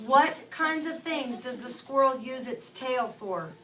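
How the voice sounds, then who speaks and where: A woman's voice: high voice, animated, a little slow. A woman preschool teacher reading aloud to young children and guiding them with simple, repeated phrases and questions. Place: preschool classroom. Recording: distant mic in noisy children's classroom. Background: loud chatter of young children.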